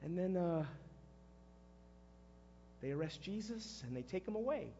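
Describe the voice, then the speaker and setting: An actor playing a guilt-ridden, impulsive fisherman disciple in a halting, conversational dramatic monologue, with a husky male voice. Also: high voice, expressive, muffled recording, a little hiss or hum. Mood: sorrowful, subdued. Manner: halting, conversational, erupting into shouts. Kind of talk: dramatic first-person monologue.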